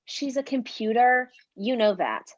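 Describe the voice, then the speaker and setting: Overachieving teen girl, played by a woman, animated, highish voice, assertive, stage reading, muffled recording. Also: a little slow. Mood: brisk, proud. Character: studious, proud, impatient fourteen-year-old girl, high achiever. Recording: dull lo-fi recording.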